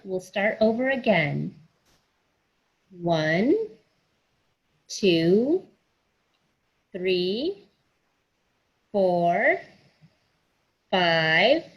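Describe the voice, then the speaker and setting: A woman's voice: mid-range voice, breathy edge, highs cut off. A woman hosting a children's story time, reading aloud and counting along in an encouraging, sing-song way. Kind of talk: picture book read-aloud for children.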